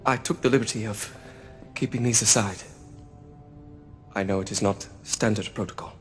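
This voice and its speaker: A man plays a formal member of a knightly order in a game drama. His fairly low voice is polite, respectful and subdued. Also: fairly even intonation, normal speed, soft background music, close to an everyday voice. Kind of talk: dramatic video game acting.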